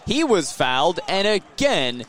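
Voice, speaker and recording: Male, American, high-pitched voice, basketball play-by-play announcer, punchy delivery, excitable as the action builds. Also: a little slow. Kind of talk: live basketball play-by-play commentary. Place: live inside a sports arena.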